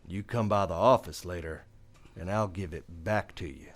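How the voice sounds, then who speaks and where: A man acts a stern lawman in an old-style western radio drama. His American voice is on the low side. He speaks tersely and dryly, with weary authority. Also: moderate pace, close to an everyday voice. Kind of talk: old-time western radio play acting.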